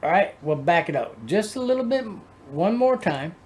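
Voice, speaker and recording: A man giving casual music commentary as a host, explaining things in a halting, rambling way. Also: high voice, very animated. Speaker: host of a music reaction video.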